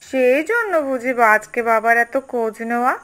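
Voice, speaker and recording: Woman as a high-voiced, soothing cartoon mother. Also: big pitch swings, fairly quick, fairly bright tone. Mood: caring. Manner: reassuring, coaxing. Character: patient, soothing mother of a young boy. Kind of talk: cartoon voice acting. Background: background music.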